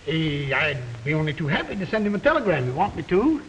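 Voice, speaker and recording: Wry, joking hotel clerk; echoey old radio recording. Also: male voice, on the high side, sing-song, fast, a bit bright. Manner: wisecracking, chuckling. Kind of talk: 1940s radio acting. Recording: old radio recording with long reverb and faint hiss.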